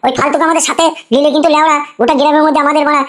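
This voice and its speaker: A woman voicing a foul-mouthed, grumbling young drunken village man in a crude comic cartoon, ranting with an artificially high-pitched voice. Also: fairly even intonation, rapid-fire, fairly bright tone. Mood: indignant ranting. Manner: ranting, swearing constantly.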